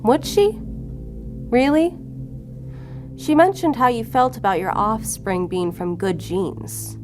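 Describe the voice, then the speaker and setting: A woman's voice: on the high side, takes it slowly. Cool female investigator over music. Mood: cool, needling. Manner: controlled, needling, firm. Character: cool, shrewd female investigator, bluffing and relentless. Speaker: law enforcement agent. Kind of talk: audio drama acting. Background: music under the voice.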